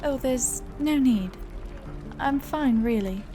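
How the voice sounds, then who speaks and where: A woman voicing her own player character, a polite young elf bard, softly and plainly. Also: high-pitched, takes it slowly. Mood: mild. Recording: home-recorded voice over game music.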